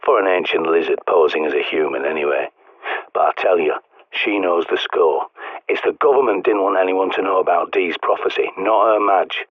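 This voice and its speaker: A gruff, sardonic British survivalist over a tinny radio. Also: male voice, sits low, normal speed, no echo. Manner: sardonic, blunt, swears often. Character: gruff male survivalist radio guide, cynical. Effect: radio transmission. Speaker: radio guide character. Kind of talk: video game voice acting.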